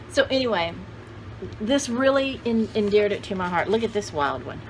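A woman's voice: fairly high pitch, expressive, normal speed. A woman in her sixties chatting casually to camera, rambling and thinking aloud as she shows things to viewers. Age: 60s. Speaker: vlogger showing vintage paper dolls. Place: indoor room at home with cluttered shelves. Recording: clearly noisy home video sound.

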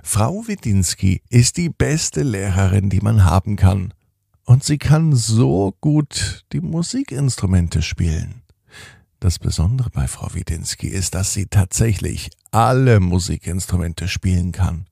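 A middle-aged man hosting a children's podcast, telling a bedtime story in a slow, soothing, gently animated way. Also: deep voice, vocal fry, slightly rough, no room sound, studio quality.

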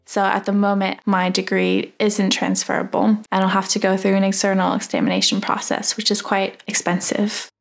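A young woman, a student, talking in a relaxed, hopeful way in an interview. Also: medium pitch, normal speed, gravelly, hardly any pauses. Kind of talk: interview answer.

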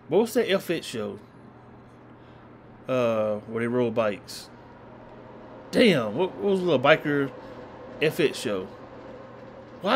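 A man's voice: on the high side, slight background noise. A young man hosting a trailer reaction, chatting casually and rambling a little, with slang. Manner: relaxed, rambling, uses slang. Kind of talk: casual chat. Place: small room indoors.

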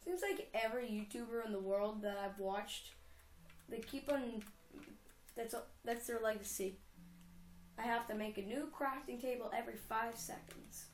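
A woman's voice: on the high side, normal speed, echoey room, a little hiss or hum. A young woman casually commenting on her own game, rambling and giggling as she goes. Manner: chatty, rambling, giggly self-talk. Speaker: gamer. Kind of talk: casual let's play commentary.

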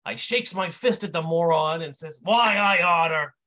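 Man doing a gruff, bright-voiced old-time comic wise guy. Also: on the high side, normal speed, dull audio. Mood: exasperated. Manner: old-time tough-guy slang and mangled grammar. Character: gruff, short-tempered male vaudeville-style wise guy comedian.

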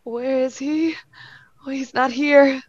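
A woman's voice: sits high, fairly even intonation, slow pace, no room sound, dull audio. A woman playing a distraught, desperately hopeful mother in a radio play, breathless and pleading as she cries out. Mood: tearful hope.

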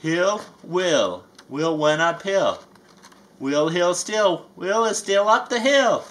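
A performer voicing a playful, folksy bayou alligator with a Southern US accent, reading a children's picture book aloud with drawn-out emphasis. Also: mid-range voice, lively intonation, a bit bright.

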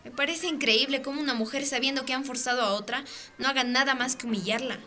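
A woman's voice: sits high, quick pace, sharp tone, a faint crowd, slight background noise. A woman playing an earnest, indignant teenage student in a radio drama, talking in a casual youthful way. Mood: indignant, chatty.

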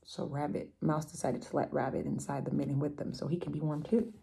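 A woman's voice: deep voice, fairly even intonation, a little fast, gravelly, warm tone, slight background noise. A young adult woman reading a picture book aloud to children, expressively, with chatty explanatory asides. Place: room at home, indoors.

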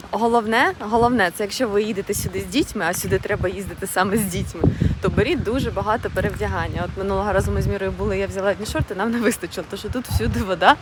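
A woman's voice: mid-range voice, background noise. A woman narrating a casual family vlog as she walks through an outdoor park, talking to her camera. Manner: chatty, tour-guide narration to camera. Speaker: vlogger, mother.

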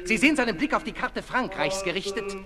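A solemn herald in a 1960s radio play. He presents rhymed verse dramatically in a high voice, over music in a reverberant space. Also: expressive, a little fast. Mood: solemn, foreboding. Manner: declamatory, dramatic.

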